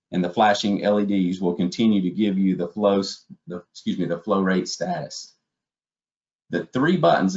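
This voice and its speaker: A man's voice: on the low side, a little mellow. A man giving a methodical, step-by-step instructional presentation as a webinar trainer. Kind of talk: instructional slide presentation narration. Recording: dull, lo-fi webinar audio.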